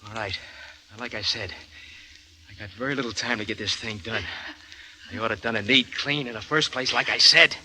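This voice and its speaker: A brutal, scheming son talks in a menacing, bullying way, with a hard, very bright tone. Faint hiss sits under his voice. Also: on the low side, a little fast, low-quality recording. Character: brutal, scheming young male murderer son. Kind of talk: 1940s radio acting.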